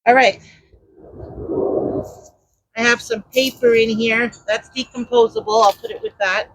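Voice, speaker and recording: Loud, chatty woman gardening outdoors. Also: a little high, fairly even intonation, unhurried, low-quality recording. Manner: chatty, rambling, searches for words; talks while digging in the garden. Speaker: gardening vlogger. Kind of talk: casual how-to narration while working. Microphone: mic clipped to a garden trellis.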